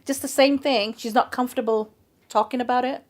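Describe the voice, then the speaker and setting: A woman, a guest telling stories in a casual conversation, chatty and animated and acting out what people said. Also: fairly high pitch, fairly quick. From England. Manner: chatty, animated storyteller who acts out quoted speech.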